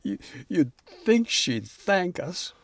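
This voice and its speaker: An actor playing a devoted, exasperated younger brother who works at the family mill, speaking earnestly with a halting stammer in an audio drama. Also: male voice, high voice, sing-song, fairly bright tone, dry recording, studio quality, heavily acted. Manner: halting, earnest, repeating words.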